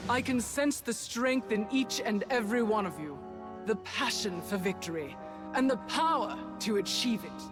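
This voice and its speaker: A woman's voice: a little high, animated, normal speed, natural voice. An actress playing an imperious female Sith lord and martial orator in a video game, grand and commanding. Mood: rousing. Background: loud game music.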